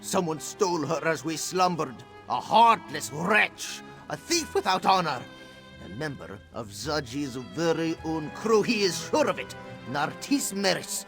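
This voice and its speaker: Melodramatic high-voiced cat-man smuggler captain. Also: big pitch swings, measured pace, music in the background. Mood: bitter, wounded. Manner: melodramatic, speaks of himself in third person. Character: theatrical male cat-folk smuggler captain, melodramatic and roguish. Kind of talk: fantasy video game dialogue.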